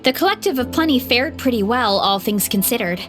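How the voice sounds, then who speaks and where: An eager, friendly young female athlete in a game, speaking in a high voice full of bubbly enthusiasm. She sounds starstruck and earnest, with faint game music in the background. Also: a little fast. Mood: humble. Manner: bubbly, enthusiastic, talks with excited warmth about meeting heroes. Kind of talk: game voice acting.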